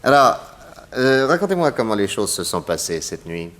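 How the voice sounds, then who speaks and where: An actor playing a shrewd, courteous detective who questions people with theatrical confidence, in a 1960s radio serial. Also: male voice, medium pitch, sing-song, normal speed, a bit bright. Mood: confident, deductive. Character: shrewd, probing, confident American male detective. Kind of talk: 1960s French radio drama acting.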